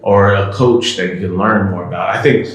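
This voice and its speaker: A man in his thirties from the United States, a podcast guest and professional baseball player, giving relaxed, reflective advice. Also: deep voice, normal speed, very echoey. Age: 30s. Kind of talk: casual interview chat.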